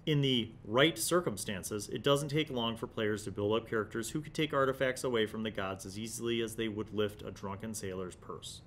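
A man's voice: fairly low pitch, normal speed, few pauses, a bit bright, a little hiss or hum. A man hosts a gaming review series from an indoor studio at a desktop microphone, reading articles aloud and adding emphatic, conversational commentary. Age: 40s.

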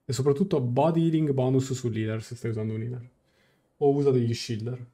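A man's voice: medium pitch, fairly even intonation, brisk, dark tone. A young man doing a live game reaction as a streamer, translating dialogue aloud. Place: small room indoors, on webcam. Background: game music.

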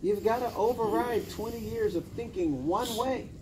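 High-voiced, emphatic male coach. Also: moderate pace. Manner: emphatic, directive, with short commands and rhetorical questions. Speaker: personal development coach. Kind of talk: informal motivational coaching talk to a small group. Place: indoor lounge, seated among a small group. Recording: noisy room with ambient hum.

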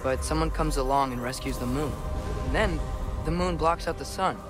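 Young man playing an earnest, higher-voiced teenage boy. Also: moderate pace, lightly acted. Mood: eager, explaining. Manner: earnest, explaining eagerly. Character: earnest, eager teenage boy, persuasive and headstrong son. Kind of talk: dramatic video game acting. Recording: clear music behind the voice.

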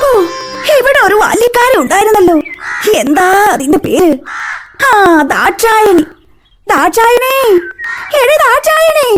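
Radio play: woman as a tearful, bossy ailing matriarch, high-pitched, music bed. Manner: scolding, plaintive, weepy calls. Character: ailing older mother, fretful and bossy. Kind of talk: radio drama acting.

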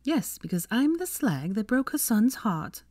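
A woman's voice: mid-range voice, big pitch swings, normal speed, a little mellow, no room sound, studio quality, voice pushed up. A woman voicing a sardonic, pointed young witch in a storybook reading. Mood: bitterly sarcastic. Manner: sarcastic, pointed. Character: sardonic, cold-tempered young witch, weary and affectionate.

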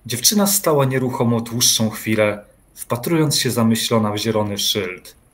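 A man narrating a dark literary radio play in a measured storytelling manner. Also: mid-range voice, animated, natural voice. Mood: measured, atmospheric.

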